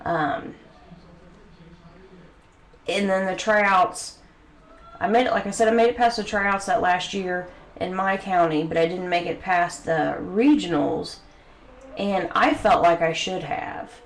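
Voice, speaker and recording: A woman's voice: on the low side, unhurried, long pauses. A young woman with a head cold, sniffling, talking earnestly to camera in a home video with many hesitant ums. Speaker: vlogger. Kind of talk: personal talk to camera. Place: bedroom home studio. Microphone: studio mic. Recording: echoey room, faint background noise.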